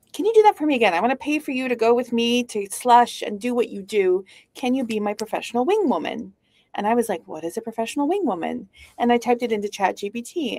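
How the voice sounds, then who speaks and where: A woman's voice: mid-range voice, a little mellow, no room sound. Witty Canadian woman telling stories. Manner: witty, storytelling, uses "like" often. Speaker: guest speaker, founder. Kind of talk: casual conversational interview.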